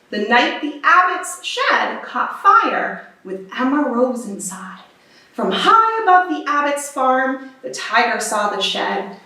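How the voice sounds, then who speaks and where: A woman reading a picture book aloud for children in an expressive, animated storytelling manner. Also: sits high. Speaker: storybook reader. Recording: very echoey room, distant microphone.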